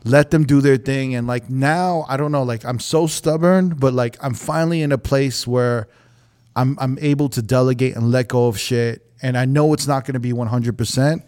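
A man's voice: mid-range voice, fairly even intonation, normal speed, darkish tone, clean tone. A young man hosting a podcast, chatting loosely with lots of fillers and swearing. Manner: loose, profane, lots of "like" and "you know". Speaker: podcast host. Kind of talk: casual podcast chat.

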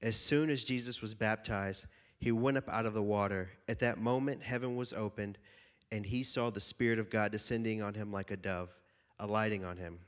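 A man's voice: fairly low pitch, fairly even intonation, moderate pace. A young man with a stuffy, slightly nasal voice from a cold, preaching casually and jokingly to a youth group and reading scripture aloud. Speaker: youth pastor.

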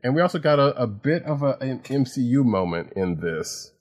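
A man co-hosting a comic book podcast, talking casually and haltingly with many restarts. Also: mid-range voice, big pitch swings, darkish tone. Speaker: podcast co-host.

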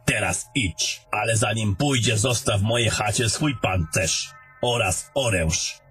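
A man playing an old, haughty, sardonic wizard in a fantasy audio drama, lecturing in a deliberate, grumbling manner over music. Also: lowish voice, fairly bright tone. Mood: commanding. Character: old haughty sardonic male wizard, gruff mentor to a soldier.

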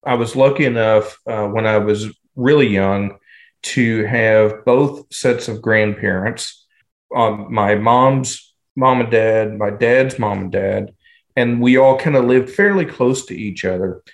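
An American man in his fifties from southern Indiana, answering interview questions conversationally in a reflective, halting way. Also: fairly low pitch, creaky. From southern Indiana, United States. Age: 50s. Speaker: podcast guest, training director and researcher.

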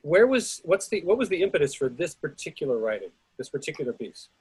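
A man's voice: on the high side, big pitch swings, fast talker, darkish tone, slightly rough. A man hosting a casual discussion, rephrasing tentatively as he goes. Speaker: host of a readers theatre series.

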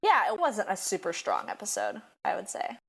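A woman's voice: medium pitch, huge pitch swings, moderate pace, creaky, fairly bright tone, husky. A woman in her twenties, a podcast co-host, chatting casually in a wry, laid-back way. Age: 20s. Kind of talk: casual chat.

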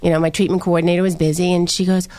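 A woman with a slightly low voice, talking as a panel guest. She is blunt and playful, telling stories in a casual, chatty way. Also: little pitch movement, rapid-fire, mellow. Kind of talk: casual anecdotal chat.

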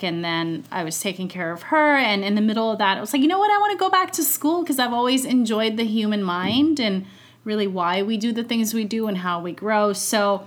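A woman in her thirties, a coach born in Iran, chatting casually and upbeat as a podcast guest. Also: mid-range voice. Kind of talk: casual interview chat.

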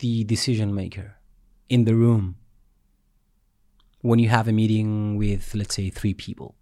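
A man's voice: on the low side, level tone, unhurried, dark tone, no room sound, studio quality. A man in a casual interview, asking questions and sharing his own examples. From Cyprus.

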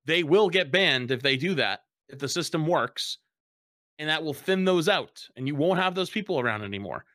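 A man's voice: a little high, lively intonation, normal speed, pushed and bright, dry recording, studio quality. A young man speaking bluntly in a casual panel discussion, swearing freely as a streamer sharing his view.

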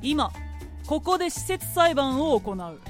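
A woman's voice: high-pitched, big pitch swings, takes it slowly, soft background music, full character voice. A woman playing a stern, authoritative merchant and judge in a radio drama, formal, imperious and composed. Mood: stern judging.

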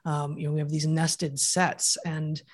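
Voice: mid-range voice, flat delivery, a little slow. A podcast guest who answers at length in a thoughtful, lecturing way, building each idea step by step. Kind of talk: explanatory interview answers.

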